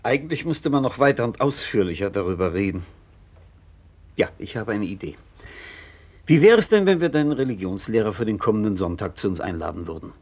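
An actor playing a concerned, thoughtful middle-aged father in a measured, deliberate radio-play style, with a husky voice. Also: on the low side, very animated, a little mellow, exaggerated voice. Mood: conciliatory. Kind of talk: 1960s German radio play acting.

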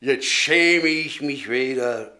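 Slow, fervent, bright-voiced zealot. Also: male voice, medium pitch. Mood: solemn, restrained. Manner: fervent, solemn, preacherly, deliberate. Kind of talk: classic German radio drama acting.

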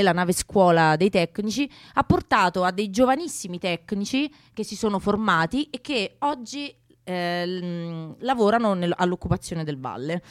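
A woman's voice: mid-range voice, no room sound. Casting: female radio co-host, mid-range voice, conversational delivery, very dry sound. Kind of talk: conversational radio presenting with a guest introduction.

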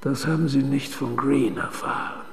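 An actor playing a powerful man cornered by blackmail, shaken and desperate, stammering and breathy in a radio drama. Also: mid-range voice, fairly even intonation, normal speed, close to an everyday voice. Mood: defensive. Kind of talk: German radio drama acting. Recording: echoey hall reverb, music underneath.

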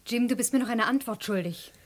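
An actress playing an anxious, loyal wife who pleads and reproaches, in a German crime radio play. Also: female voice, fairly high pitch, brisk, lightly acted. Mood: pleading. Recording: faintly noisy vintage radio play recording.

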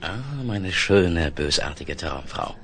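An actor playing a confident, wry space explorer in a 1970s German science-fiction radio play, with a theatrical, dryly ironic delivery. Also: male voice, extremely low pitch, slow, fairly bright tone, full character voice. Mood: teasing, tender.

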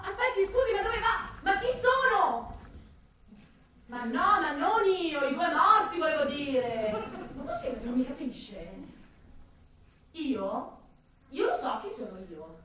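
A woman's voice: high voice, very animated, measured pace, pushed and bright, full character voice. An actress playing a pushy, ambitious television reporter in a theatrical radio drama, insistent with her pleading questions. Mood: insistent, urgent. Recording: echoey, distant stage sound with music.

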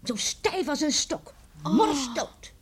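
An actress playing a lively older grandmother in a radio drama, telling eerie tales with chuckles and hushed suspense. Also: high-pitched, slow pace, full character voice. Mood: eerie, confiding. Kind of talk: radio drama acting, storytelling.

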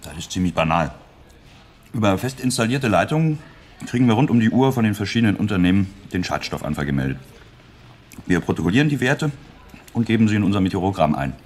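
An actor playing a roguish, clever computer technician in a radio play, talking in a wry, teasing, chatty way. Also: male voice, lowish voice, big pitch swings, fast talker, long pauses, a little mellow. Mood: wry, explaining. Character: roguish, clever male computer-centre technician, playful tinkerer.

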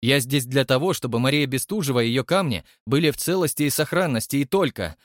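A man's voice: fairly high pitch, very animated, a little fast, no echo, studio quality, pitched above the performer's own voice. A man voicing a stubborn, reluctant male detective who protests bluntly and indignantly. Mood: protesting.

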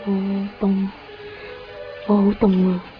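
A woman's voice: medium pitch, level tone, slow pace, close to an everyday voice. Woman as eerie teenage schoolgirl, Hong Kong Cantonese accent, resentful, insistent, radio drama, dull old recording, music underneath. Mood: insistent, agitated. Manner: insistent, brooding. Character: intense, cold, resentful teenage schoolgirl, eerily fixated on exams.

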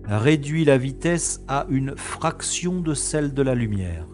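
A man playing a weary, bantering spaceship commander in a science fiction audio drama, with a measured manner. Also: medium pitch, lively intonation, a little mellow, faint music behind, close to an everyday voice. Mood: focused. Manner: measured, exasperated with his computer.

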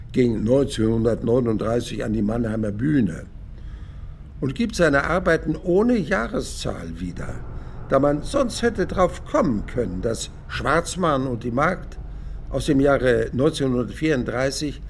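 Old disillusioned writer guy musing in a radio play, creaky voice, lively intonation. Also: medium pitch, a little hiss or hum. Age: older. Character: reflective, disillusioned elderly male radio dramatist.

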